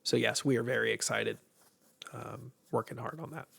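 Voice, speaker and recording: A man's voice: mid-range voice, steady tone, moderate pace, vocal fry, fairly bright tone, husky. A man from Ohio with an American accent hosts a casual livestream, rambling informally, sounding stuffed up with a tiring voice. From Midwestern US, Ohio. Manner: rambling, informal, mildly profane. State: congested with allergies, voice tiring. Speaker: host of a game publisher's livestream.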